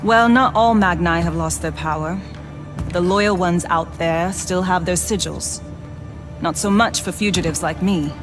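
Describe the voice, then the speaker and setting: A woman acts out a loyal fugitive mage in a fantasy game cutscene. She is steady and matter-of-fact, in a slightly low female voice. Music plays clearly behind her. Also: a little slow, close to an everyday voice. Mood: grim, explaining. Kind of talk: cinematic video game acting.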